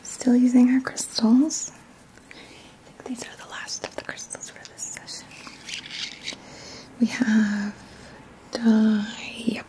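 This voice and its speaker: A woman in her thirties whispers gently and soothingly in a halting, breathy voice, hosting an ASMR guided healing session up close to the mic. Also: fairly high pitch, steady tone, poor audio. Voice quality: breathy whisper, mostly unvoiced. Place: small quiet indoor room. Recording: faint background noise.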